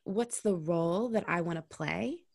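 Female guest, intimacy coach, frank and reflective, slight vocal fry, dry close sound. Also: on the low side, unhurried, darkish tone, no room sound. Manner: candid, reflective, swears casually. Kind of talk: casual podcast conversation.

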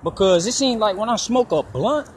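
Young guy rambling while he walks by a road, cursing a lot, kinda high voice, faint traffic behind him. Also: expressive, normal speed. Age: 20s. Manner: loose, rambling, swears freely. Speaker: podcaster. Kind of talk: casual personal monologue. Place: outdoors by a road, walking. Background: passing traffic.

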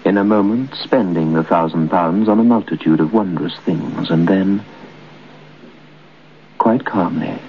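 A muffled old radio recording with faint noise carries a grave storyteller. He is low and slow, building suspense in measured phrases. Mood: ominous suspense. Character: grave storyteller of a ghostly tale. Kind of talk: old-time radio horror narration.

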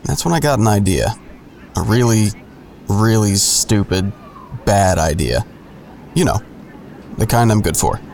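Gravelly, low-voiced sardonic narrator. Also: male voice, lively intonation, a little slow, pauses often, darkish tone, slight background noise. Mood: wry, confiding. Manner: wry, self-deprecating, crude humor. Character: sardonic, nosy man narrating his own misadventures. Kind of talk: first-person scripted narration.

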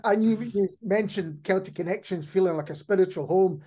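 A man's voice: sits high, a little fast, mellow, slightly rough. Affirming male podcast interviewer. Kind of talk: conversational interviewing.

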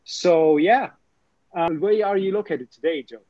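A man hosting a podcast interview, talking in halting phrases with many fillers and restarts. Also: on the high side, no room sound, muffled recording. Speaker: podcast host. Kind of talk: casual interview.

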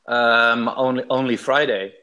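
A man's voice: medium pitch, fairly even intonation, measured pace, sharp tone. Hesitant Dutch-accented man. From Netherlands. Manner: hesitant, many restarts and repeated words. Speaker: guest, sports fan. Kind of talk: casual interview.

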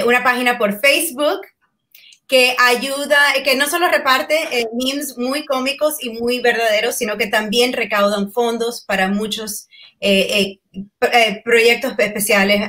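A woman's voice: fairly high pitch, a bit bright. A woman hosting a Spanish-language interview podcast, asking questions in a friendly way with frequent fillers and hesitations. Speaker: podcast host.

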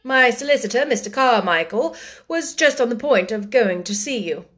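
Lady reading as a weak old gentleman, slow and gentle, a bit firm. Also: on the high side, lively intonation. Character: frail older man, gentle but hot-tempered.

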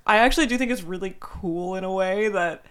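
A young woman with a deep voice, co-hosting a podcast and telling rambling stories full of filler words. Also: fairly quick, fairly bright tone, clear, resonant tone. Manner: rambling anecdotes, many "like" fillers. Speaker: podcast co-host. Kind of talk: casual podcast chat.

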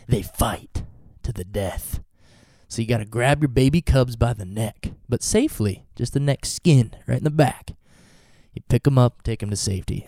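A man's voice: medium pitch, dark tone, clear, resonant tone, no room sound, studio quality. A man in his twenties with a stuffy cold, chatting casually in a vlog and wandering off into goofy tangents. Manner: rambling, goofy tangents and jokes. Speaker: vlogger and touring band musician.

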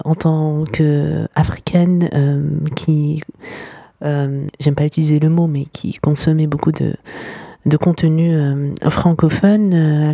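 Low, mellow-voiced woman with a sore throat. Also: dry recording. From Morocco. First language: Arabic. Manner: thoughtful, many hesitations and restarts. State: sore throat, a little ill. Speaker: podcast host. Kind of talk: reflective solo podcast talk.

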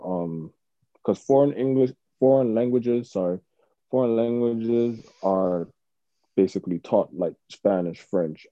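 Deep, dark-voiced Jamaican man. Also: no room sound, dull audio. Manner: relaxed, many fillers and restarts. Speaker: podcast host. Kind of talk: casual interview chat.

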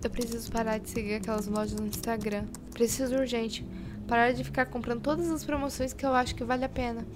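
A woman's voice: on the high side, fairly even intonation, fast, lightly acted. An actress playing a frazzled, impulsive young woman fretting over money, with a Brazilian accent, in an audio drama. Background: music behind the voice.

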